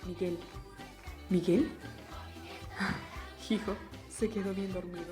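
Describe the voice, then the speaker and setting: A woman playing a gentle, fretful mother in a fan-dubbed audiobook, soft and motherly. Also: medium pitch, steady tone, slow, over music, natural voice. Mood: hushed, gentle.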